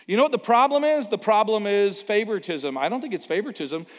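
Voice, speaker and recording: A man's voice: sits high, animated, fast talker. Man preaching in a reverberant church. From Canada. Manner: conversational, self-deprecating asides, frequent restarts. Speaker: pastor. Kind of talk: sermon. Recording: long hall reverb.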